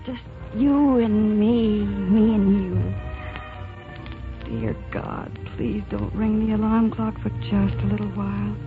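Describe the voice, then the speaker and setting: A woman's voice: medium pitch, fairly even intonation, slow pace. An actress playing a proud, outspoken young working-class woman in a 1940s radio drama, by turns tender and blunt. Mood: blissful, pleading. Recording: muffled 1940s radio recording with music.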